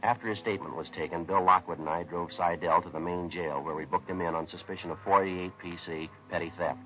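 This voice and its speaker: An actor playing a terse, businesslike police sergeant who narrates a 1950s radio crime drama in a deadpan, matter-of-fact way. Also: male voice, low-pitched, fast talker, slight background noise, lightly acted. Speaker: narrator and lead police detective. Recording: dull vintage radio recording with music.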